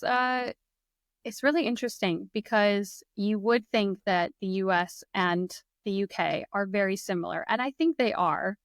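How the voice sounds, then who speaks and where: A woman's voice: medium pitch, dry recording, studio quality. A young woman with a Midwestern American accent chatting casually and enthusiastically, laughing often. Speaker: guest, English teacher. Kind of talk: casual conversation.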